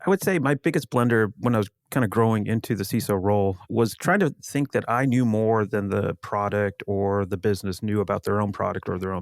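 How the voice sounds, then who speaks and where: A man speaking as an interview guest, answering casually in a measured, explanatory way. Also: on the low side, gravelly, no echo, clean studio sound. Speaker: podcast guest, security executive.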